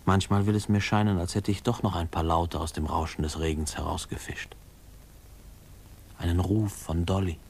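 A man's voice: low voice, steady tone, moderate pace, gravelly. An actor playing a grown man remembering his boyhood, narrating a 1950s radio drama in a reflective, lyrical way. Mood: wistful, reflective. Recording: faintly noisy old radio recording.

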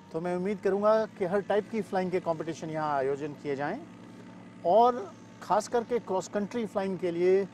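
Middle aged guy being interviewed outside, voice a bit high, noticeable ambient noise. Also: mellow. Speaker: paragliding pilot and event weather expert, interview guest. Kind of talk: interview answers, Hindi with English terms. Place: open grassy field in the mountains. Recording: clear outdoor ambient noise.

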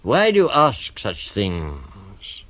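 A man voicing a sly, menacing crocodile in a storybook, with slow, drawn-out, whispery coaxing. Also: fairly low pitch, wildly swooping pitch, a bit bright, exaggerated voice. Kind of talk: storybook character voice.

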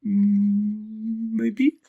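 A man's voice: sits high, monotone, very slow, creaky, husky, phone-quality sound, pitched above the performer's own voice, exaggerated voice. A man voicing a timid, lonely young male baby elephant in a storybook, hesitant and anxious. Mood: shy, worried.

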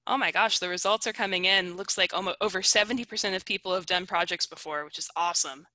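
A bubbly woman in her thirties runs a webinar over a headset mic, and her voice sounds bright and clear. The sound is dry and close, with no room around it. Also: lowish voice, fast talker, no echo. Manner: bubbly and encouraging. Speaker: webinar host. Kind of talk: upbeat webinar moderation. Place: home video call.

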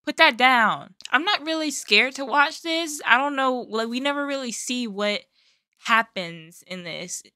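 An American woman in her twenties chatting casually as a reaction host, playful, animated and slangy, close on the microphone. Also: high-pitched, measured pace, dry recording. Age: 20s. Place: small bedroom studio, indoors. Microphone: close boom-arm microphone.

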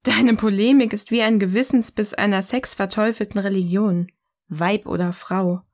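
An actress plays a self-assured, provocative woman in a philosophical audio drama. Her mid-pitched voice is poised and deliberate, delivering each line as a declaration. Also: steady tone, darkish tone, close to an everyday voice. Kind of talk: scripted audio-novel dialogue.

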